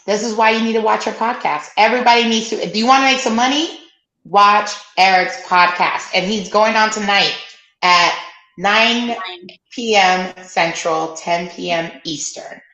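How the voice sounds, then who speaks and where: A woman's voice: mid-range voice, normal speed, bright, forceful tone. Give me a chatty woman co-hosting a sports podcast, recorded in an echoey room. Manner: chatty, plugs events with loose asides. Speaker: podcast co-host. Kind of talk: casual sports podcast chat. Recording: roomy echoey sound.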